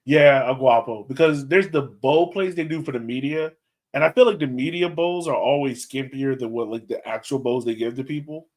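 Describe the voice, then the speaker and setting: Laid back guy podcast cohost talking soccer, mid pitch, kinda thinks out loud and trails off. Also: moderate pace. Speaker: podcast co-host. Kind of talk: casual soccer podcast chat.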